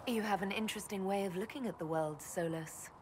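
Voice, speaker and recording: A young elven mage heroine voiced by a woman in a fantasy game, wry and curious at a middle pitch. Her lines carry a long reverb and faint noise. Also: fairly quick, lightly acted. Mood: curious, teasing. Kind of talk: video game dialogue acting.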